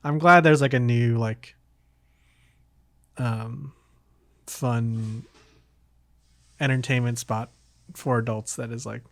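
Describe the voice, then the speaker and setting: A man's voice: medium pitch, steady tone, slow pace, pauses often, dry recording. Man chatting casually, close and dry. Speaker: podcast host. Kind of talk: casual podcast chat about games.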